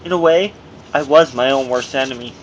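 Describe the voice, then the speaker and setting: A man's voice: mid-range voice, fairly quick, lo-fi sound, a little hiss or hum. A man reading his own memoir aloud as narration, in a personal first-person delivery with dramatic emphasis, on an amateur recording.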